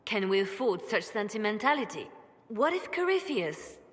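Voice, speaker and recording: An actress playing a guilt-ridden but steely spymaster in a video game, with a grave, deliberate delivery. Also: female voice, medium pitch, very animated, natural voice. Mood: hard, grave. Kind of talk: video game character acting. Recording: long echoing reverb, faint ambience.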